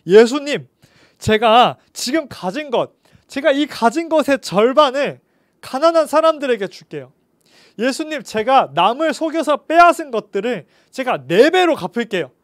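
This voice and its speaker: A man puts on a small, eager tax collector from a storybook tale, his voice pitched high and bright as he pleads and rejoices. The sound is dry and close. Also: very animated, normal speed, no room sound. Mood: overjoyed. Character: short eager male tax collector, joyful.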